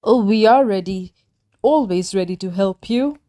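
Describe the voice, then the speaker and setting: A woman's voice: mid-range voice, a little slow, dark tone, natural voice. A woman voicing a loyal, helpful gander in a storybook, speaking earnestly as a careful friend. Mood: willing, helpful.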